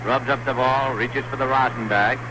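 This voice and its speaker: A man calling a baseball game play by play for radio in a measured, calm way, heard on a noisy 1960s broadcast. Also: medium pitch. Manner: measured, calm calling that lifts on big plays. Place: stadium broadcast booth.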